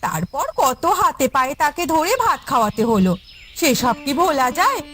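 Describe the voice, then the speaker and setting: A woman voicing a brisk, practical elder wife in a village cartoon, giving orders and explanations. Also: high-pitched, sing-song, fairly bright tone, a little hiss or hum. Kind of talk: cartoon voice acting.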